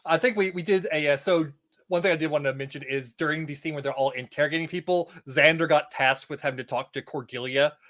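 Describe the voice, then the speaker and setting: A man's voice: a little high, fast talker, a bit bright. A man speaking as a podcast guest in casual, conversational chat about a TV show.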